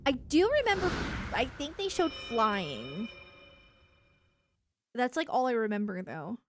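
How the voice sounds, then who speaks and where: A woman's voice: a little high, sing-song, a little slow, dry recording. A woman chatting casually on a game livestream, musing aloud as she reacts to what she watches. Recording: clearly noisy background.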